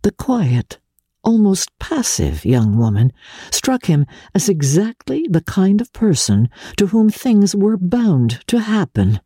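Female audiobook reader, mellow husky tone, lively intonation, measured dramatic delivery, literary narration. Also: medium pitch, no room sound, clean studio sound. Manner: measured, expressive storyteller phrasing. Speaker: audiobook reader, show host. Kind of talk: dramatic reading of classic literary fiction.

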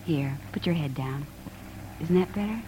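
An American actress playing a devoted wife in a 1950s radio drama, speaking tenderly and reassuringly. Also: female voice, deep voice, normal speed, natural voice. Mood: tender, soothing. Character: devoted, soothing wife, mildly questioning. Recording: old radio broadcast, faint noise.

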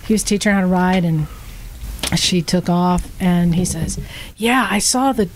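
A woman co-hosting a podcast, telling a story to friends in a casual, animated way and acting out what people said. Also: fairly low pitch, normal speed, slight background noise. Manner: animated, laughing, acts out quoted speech. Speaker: podcast co-host.

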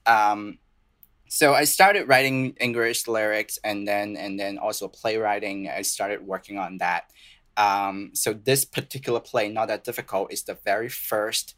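A young man with a Chinese accent talks in a fairly low voice, earnest and halting, often restarting his sentences. He speaks as a guest in a relaxed podcast interview, with English as his second language. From southern China. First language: Cantonese and Mandarin. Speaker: playwright and composer guest.

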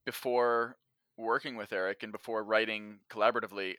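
A man speaking as an interview guest, explaining his thinking in casual, measured conversation with examples. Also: on the low side, fairly even intonation, bright, forceful tone, clear, resonant tone, no echo. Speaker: podcast guest, audio drama writer and actor.

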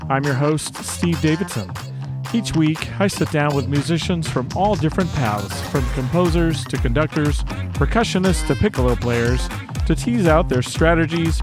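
A man reading a scripted podcast introduction in a steady, upbeat way. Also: on the high side, unhurried. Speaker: podcast host. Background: music bed.

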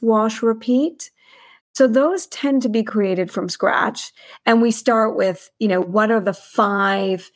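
A woman with a noticeably creaky, mid-pitched voice talks as a guest in an interview. She explains things in articulate detail, like a senior finance executive, using plenty of business jargon. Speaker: chief financial officer, podcast guest.

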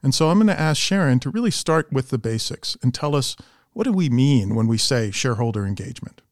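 A man's voice: medium pitch, lively intonation, fairly quick, dry recording, studio quality. A man hosting a podcast interview in a polished, professional way, introducing topics and putting questions to a guest. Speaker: podcast host and investment strategist.

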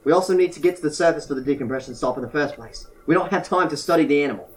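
A man's voice: on the high side, rapid-fire, slight background noise, lightly acted. A man playing an impatient, sarcastic diver in an amateur audio drama, exasperated and eager to escape.

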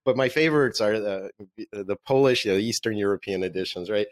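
Low-voiced man born in Russia. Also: fairly quick, dry recording. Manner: relaxed, joking, laughs at own quips. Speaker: author and marketing agency owner. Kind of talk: casual interview answers.

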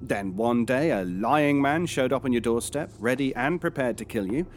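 An actor playing a calm assassin posing as a publisher in an audio drama, speaking politely and smugly in measured phrases. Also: male voice, mid-range voice, a little hiss or hum, close to an everyday voice. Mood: coldly menacing. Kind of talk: audio fiction drama acting.

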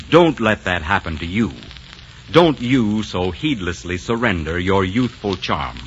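Lively, polished 1940s male radio announcer. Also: fairly low pitch, muffled recording, a little hiss or hum. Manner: polished, emphatic.

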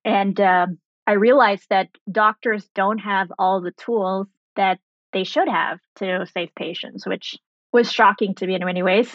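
A woman in her thirties explaining things clearly and conversationally as an interview guest, with simple, articulate phrasing. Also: medium pitch, steady tone, a little slow, no echo, dull audio. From grew up in Germany and Sri Lanka. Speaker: guest, biotech founder and laser physicist.

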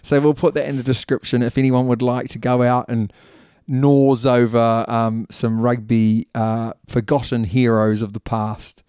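Podcast co-host, male, New Zealand accent, dark mellow voice, dry close sound, loose rambling delivery. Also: medium pitch, level tone, measured pace, few pauses, clear, resonant tone, dry recording. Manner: chatty, laughs easily, rambling asides. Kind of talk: casual rugby podcast chat.

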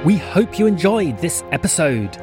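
Casting: male credits narrator, higher-than-average pitch with lively movement, scripted read. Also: normal speed, over loud music. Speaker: announcer.

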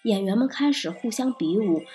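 A woman's voice: mid-range voice, lively intonation, normal speed, warm tone, faint music behind. A woman narrating a scripted first-person review as a podcast reviewer.

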